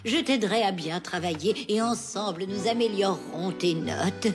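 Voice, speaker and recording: A woman's voice: mid-range voice, normal speed, music in the background, natural voice. A woman voicing a cloyingly attentive schoolteacher in a French cartoon, cooing and drawing out her words. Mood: soothing.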